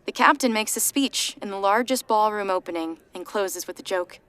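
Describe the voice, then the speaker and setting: A woman narrating a scripted story in a measured, dryly ironic manner. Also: mid-range voice, fairly bright tone, clear, resonant tone, some chatter behind.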